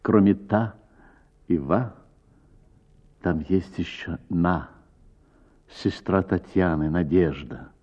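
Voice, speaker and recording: A man reading a literary short story as a radio narrator, with measured, dramatic phrasing and drawn-out words. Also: deep voice, muffled recording.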